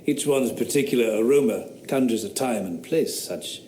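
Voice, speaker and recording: An actor playing a witty, sardonic philosopher in an audio drama, teasing and dry. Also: male voice, medium pitch, normal speed, warm tone, roomy sound, slight background noise, natural voice. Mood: wry. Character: witty, mocking man, a London philosopher. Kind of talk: radio drama acting.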